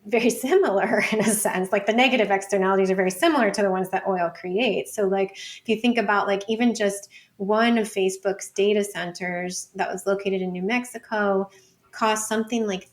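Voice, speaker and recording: A woman speaking as an expert guest in a conversational interview, explaining informally with lots of hedging words like "like", "sort of" and "you know". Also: medium pitch. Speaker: interview guest, data researcher and book author. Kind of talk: conversational podcast interview answers.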